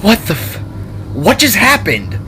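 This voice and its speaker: A man's voice: sits high, huge pitch swings, a little slow, pitched above the performer's own voice, heavily acted. Guarded female sci-fi warrior, played by a male narrator: terse, hesitant, troubled, faint music bed. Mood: groggy, confused.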